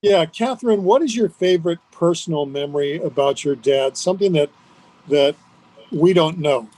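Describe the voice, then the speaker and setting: Older man, fairly high dry voice, reminiscing. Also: normal speed, dark tone, dry recording. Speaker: radio disc jockey, interview guest. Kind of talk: conversational reminiscing.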